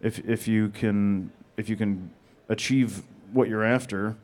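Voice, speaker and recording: Low-voiced male panel guest, dry and deadpan, monotone. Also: a little slow. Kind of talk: audience Q&A answers.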